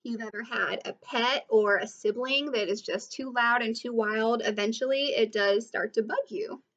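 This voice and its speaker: A woman teacher reading a picture book aloud to children, pausing to explain and ask questions. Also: fairly high pitch, a bit bright, muffled recording. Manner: explanatory, asks listeners questions. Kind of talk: read-aloud storytelling with asides to children.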